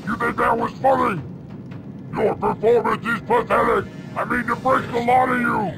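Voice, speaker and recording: An actor playing a brutal, contemptuous reptilian army soldier in a video game, with a growling, menacing delivery. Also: male voice, very high voice, little pitch movement, moderate pace. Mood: furious, shouting. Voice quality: gravelly, growling. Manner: menacing, barking. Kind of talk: video game cutscene acting. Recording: game audio with music underneath.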